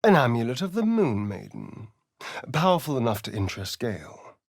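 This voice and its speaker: A man's voice: mid-range voice, big pitch swings, normal speed, dry recording, clean studio sound. A man voicing a suave, sardonic vampire rogue in a fantasy video game, with an arch, theatrical, dramatic delivery. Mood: measured, brooding.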